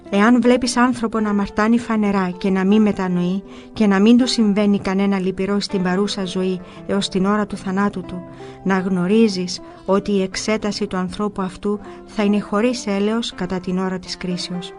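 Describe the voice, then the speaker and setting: A sorrowful, kindly monastery elder read by a woman in a spoken story. The admonitions are calm and grave. Soft music plays faintly underneath. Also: medium pitch, close to an everyday voice. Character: gentle male monastery elder, sorrowful and compassionate. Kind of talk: storytelling quotation.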